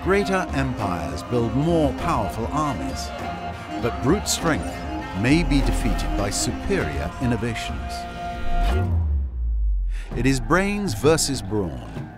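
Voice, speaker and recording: Theatrical male narrator, highly expressive, over music. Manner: theatrical, wry, storyteller-like delivery with deliberate phrasing. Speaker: game narrator. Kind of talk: scripted cinematic narration. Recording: voice over prominent music score.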